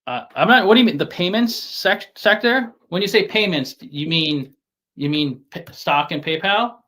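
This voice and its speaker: A man from New York City with a softened New York accent, talking casually as an interview guest, halting and often repeating words as he restarts. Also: high voice, animated. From Staten Island, New York City. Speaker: guest investor.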